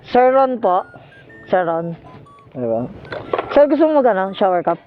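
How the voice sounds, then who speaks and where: A man's voice: sits high, animated, moderate pace, lo-fi sound, noisy background. A Filipino man chatting casually as a motorcycle taxi rider and vlogger, polite and jokey, into a helmet intercom microphone. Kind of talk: casual ride vlog chat. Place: outdoors on a motorcycle on the road.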